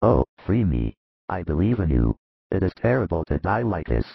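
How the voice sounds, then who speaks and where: A man voicing a wretched, sick husband who begs desperately for mercy in a gravelly, husky voice, in a scripture story. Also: deep voice, big pitch swings, moderate pace, dry recording, lo-fi sound, pitched below the performer's own voice, full character voice. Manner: desperate, sobbing delivery.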